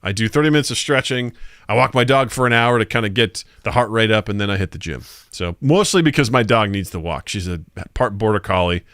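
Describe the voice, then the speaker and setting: A forty-year-old man hosts a live podcast in a loose, joking manner, reading comments from his viewers. His voice sits on the lower side and comes through with a bright, forceful edge. The sound is dry and close. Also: animated, brisk, clean tone, dry recording. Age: 40s. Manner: laid-back, joking, reads viewer comments aloud. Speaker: podcast host. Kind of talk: casual live-stream chat.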